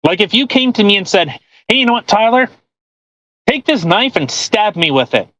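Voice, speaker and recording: A man co-hosting a podcast, giving casual commentary in halting, rambling explanations peppered with "you know". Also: sits high, sing-song, a bit bright, dry recording. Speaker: podcast co-host.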